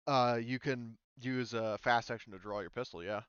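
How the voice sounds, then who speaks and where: A man's voice: mid-range voice, fairly even intonation, moderate pace, a bit bright, clean tone, dry recording, dull audio. A man running a tabletop roleplaying game as game master, casually explaining rules.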